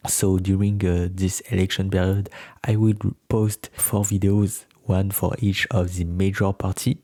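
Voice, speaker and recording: A man with a French accent addresses his channel's viewers in English. His voice is very low and flat, with little melody. He sounds earnest and careful, stops often for fillers, and is recorded dry. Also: measured pace, dry recording. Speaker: channel host. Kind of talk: solo explainer to camera.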